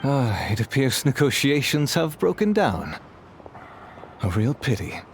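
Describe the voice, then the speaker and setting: Smug, insinuating merchant, swooping delivery. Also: male voice, medium pitch, sing-song, measured pace. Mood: mock regret. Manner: formal, smoothly insinuating. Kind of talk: video game voice acting. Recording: clear background ambience noise.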